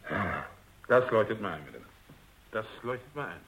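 A courteous, baffled major general in a radio play. His voice is fairly low and husky. He speaks formally, as an officer of rank. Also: lively intonation, moderate pace, a bit bright, heavily acted. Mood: conceding. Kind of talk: radio drama acting.

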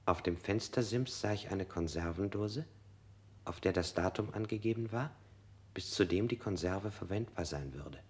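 An actor playing an anxious male suspect in a radio play, narrating in a measured, introspective way. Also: deep voice, natural voice. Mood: uneasy. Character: anxious, guilt-ridden male suspect under interrogation. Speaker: first-person narrator.

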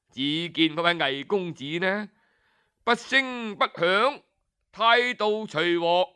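A man with a Cantonese accent telling a story in an animated, colloquial, dramatic traditional style full of interjections. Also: high-pitched, clear, resonant tone.

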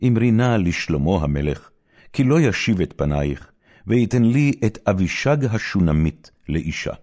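A man's voice: low voice, very animated, a little mellow, voice pushed up. A man with an Israeli accent voicing an ambitious elder prince, formally pleading for a favour in a scripture reading.

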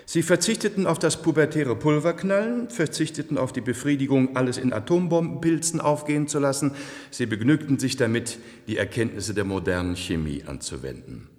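A man acting a complacent, cultured ruler of a future elite in a 1970s radio play, suave, measured and condescending, in an echoey hall. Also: medium pitch, animated, darkish tone, natural voice. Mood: proud lecturing.

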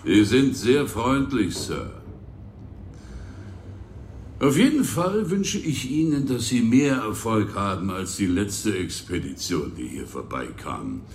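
A courteous colonial governor in a radio drama. His fairly low voice rises and falls widely, yet stays formal and drily smug. The room echoes around him. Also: big pitch swings, takes it slowly, slight background noise, exaggerated voice. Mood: drily ominous. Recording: roomy echo, clear background ambience.

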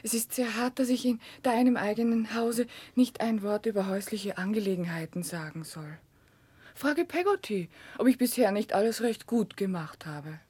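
An actress playing a gentle, helpless young mother in a 1960s German radio drama, soft, breathy and timid. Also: mid-range voice, normal speed, no room sound, close to an everyday voice. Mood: hurt, pleading. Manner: pleading, timid.

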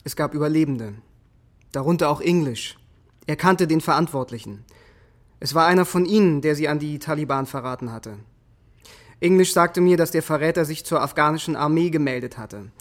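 An actor playing a tense soldier giving a terse, factual account in a radio drama. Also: male voice, a little high, moderate pace, natural voice. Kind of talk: courtroom radio drama testimony.

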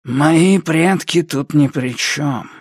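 Audiobook villain, acted by a male narrator: small vengeful fanatic, pitched up, drawling out menacing threats, dry close sound. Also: very animated, no echo, full character voice. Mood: anguished threat. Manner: slow, drawn-out, threatening delivery.

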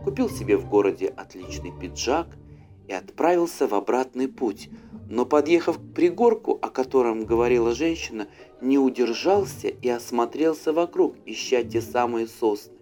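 Man, audiobook narrator, expressive storytelling, animated pitch, mid register, soft music underneath. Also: normal speed. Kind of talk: expressive fairy-tale narration. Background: faint music.

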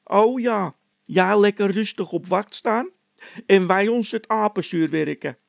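A sneering storybook workman, voiced by a male reader, forever complaining. He whines and snaps in a raised, gravelly voice, recorded close and dry. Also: high voice, measured pace, no room sound, voice pushed up. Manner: whining, snapping.

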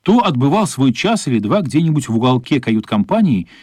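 A man's voice: medium pitch, very animated, brisk, darkish tone, husky, no echo, studio quality. A man narrating a radio play as dramatic scripted storytelling, expressively voicing the characters' thoughts.

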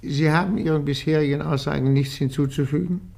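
A man's voice: on the high side, steady tone, a little fast, creaky, a little mellow. A man playing a courteous but firm police interrogator in a German radio crime play, formal and controlled. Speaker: actor in a radio crime drama.

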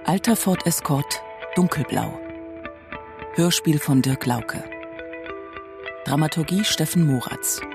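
A man announcing the title and credits of a radio play in a scripted, measured read. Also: fairly high pitch, animated, vocal fry, music in the background.

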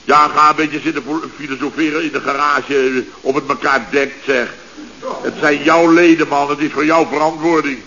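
An actor playing a flustered middle-aged contractor boss in a radio comedy, stammering, exclaiming and turning pompously polite. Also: male voice, on the high side, fairly even intonation, normal speed, sharp tone. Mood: agitated. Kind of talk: Dutch radio comedy acting. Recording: dull, noisy old radio recording.

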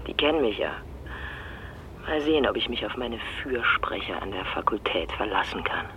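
A woman's voice: a bass voice, animated, moderate pace, bright, forceful tone, exaggerated voice, low-quality recording. A wry, self-aware academic in a radio play. She talks in a dry, conversational way over slight background noise. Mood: wry, ambivalent. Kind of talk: radio drama acting, conversational.

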